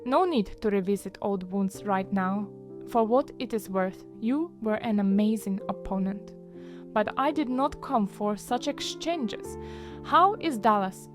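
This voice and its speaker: A woman's voice: mid-range voice, lightly acted. Woman acting as Vera, formal veteran over music. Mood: solemn, gracious. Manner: formal, measured, few contractions. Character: Vera, formal, forgiving female Eastern Front veteran. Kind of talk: audio drama acting.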